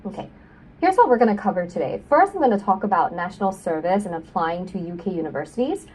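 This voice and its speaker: A woman's voice: on the low side, lively intonation, quick pace, a little mellow, husky. A young woman from Singapore, an admissions consultant giving a webinar talk, friendly and peppered with "um" and "you know". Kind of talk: webinar presentation. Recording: voice a bit far from the mic.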